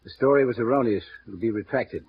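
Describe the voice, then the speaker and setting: An actor playing a smooth, guarded male insurance executive who speaks in measured, confiding tones, in a 1950s radio drama. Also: mid-range voice, natural voice. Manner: measured, confiding, halting with small pauses. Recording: muffled vintage radio broadcast with faint hiss.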